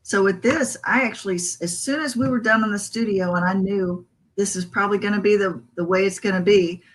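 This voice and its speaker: A woman's voice: mid-range voice, a little hiss or hum, poor audio. A woman musician in a casual interview, talking about recording and laughing easily at jokes. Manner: laughs often, quick to joke. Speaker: musician guest.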